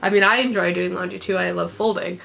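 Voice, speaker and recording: A woman's voice: fairly low pitch, steady tone, quick pace, vocal fry, fairly bright tone, poor audio. A woman chatting casually about books she has read, congested and recovering from illness, rambling with many ums and little laughs. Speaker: book vlogger. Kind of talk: casual unedited book chat.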